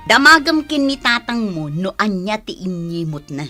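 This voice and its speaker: A woman's voice: mid-range voice, big pitch swings, normal speed, sharp tone, faint music behind. A woman with an Ilocano accent playing a caring, anxious wife and mother in a radio drama. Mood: probing.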